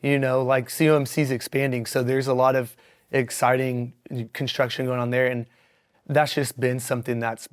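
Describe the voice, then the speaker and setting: A young man with a hoarse, raspy voice from yelling, chatting upbeat and animated as a dentist guest on a local talk show. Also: medium pitch, moderate pace. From Missouri Ozarks. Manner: upbeat, animated, many fillers. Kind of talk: casual interview.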